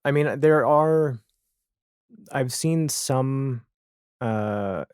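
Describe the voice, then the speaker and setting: A man's voice: mid-range voice, extremely slow, darkish tone, clear, resonant tone, no room sound, clean studio sound. A man hosting a podcast, chatting casually about television in a candid, wry and emphatic way. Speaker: podcast host.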